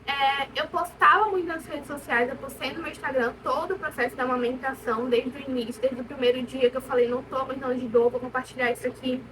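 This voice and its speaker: A young Brazilian woman with a high voice speaks casually and candidly, as a vlogger sharing her own experience. She leans on repetition for emphasis. The recording sounds distant from the mic, with clear room noise. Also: steady tone, quick pace, hardly any pauses. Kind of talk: casual personal storytelling to camera.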